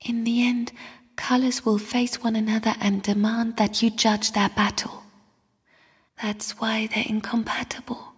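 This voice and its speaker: An actress playing a mysterious, sorrowful young spirit in a video game, speaking in a hushed, solemn, airy whisper. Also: female voice, a little high, flat delivery, slightly rough. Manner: slow, hushed, solemn delivery. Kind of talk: video game character voice acting. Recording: long cavernous reverb.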